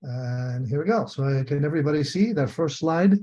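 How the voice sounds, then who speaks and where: A man's voice: a little high, lively intonation, moderate pace, warm tone. An older man from Spain with a Spanish accent gives an explanatory lecture over a video call, methodically repeating and rephrasing key points. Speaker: retired biomechanics professor, lecturer. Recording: dull, muffled video call audio.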